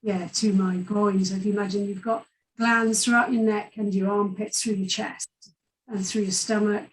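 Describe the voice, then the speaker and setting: A woman with a Welsh accent tells her story in an open, chatty way, full of fillers. Her voice sits at a middle pitch and sounds a little distant from the microphone. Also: fairly even intonation, normal speed. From Wales. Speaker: guest. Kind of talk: personal story in an interview.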